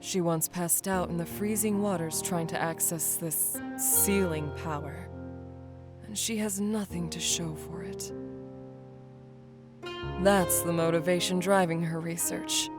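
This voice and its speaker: A woman playing a wise, composed, protective warrior leader, measured and knowing, in a fantasy game dub. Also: on the low side. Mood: solemn, caring. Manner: measured, knowing, motherly authority. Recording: faint music behind.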